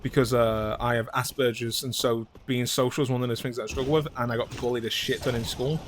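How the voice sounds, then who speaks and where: A man's voice: medium pitch, fairly even intonation, fast, a little hiss or hum. A man streaming a video game from home, chatting casually in a rambling, hesitant way, candid and blunt with casual swearing. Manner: rambling, hesitant, full of fillers and restarts. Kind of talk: casual chat while playing a video game.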